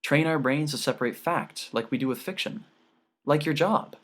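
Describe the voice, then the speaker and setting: Man playing an earnest teenage girl, pitched up. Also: normal speed, a bit bright. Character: earnest teenage daughter, gently patient with her dad.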